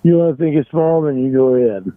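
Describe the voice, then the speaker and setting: A man on a phone call, arguing defensively in a halting, repetitive way. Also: medium pitch. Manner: halting, repeats himself, defensive. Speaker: phone caller. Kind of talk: argumentative private phone call. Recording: tinny, narrow phone line.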